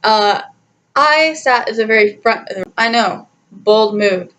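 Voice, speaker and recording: A young adult woman telling a personal story in a casual, chatty, animated way, giggly and often interrupting herself. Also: fairly high pitch, unhurried. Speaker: storytime vlogger.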